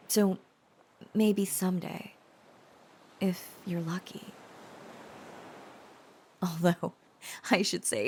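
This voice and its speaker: A woman playing a shy, tender young dragon-blooded girlfriend in an intimate audio roleplay, speaking hesitantly with stammers and soft pauses. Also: fairly low pitch, creaky, slight background noise. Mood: shy, playful.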